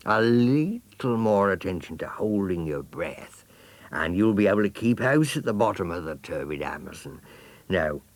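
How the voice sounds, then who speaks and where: A man voicing a slow, solid tortoise in a storybook, measured and mild-mannered. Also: deep voice, lively intonation, dry recording. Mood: courteous, encouraging. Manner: measured, courteous.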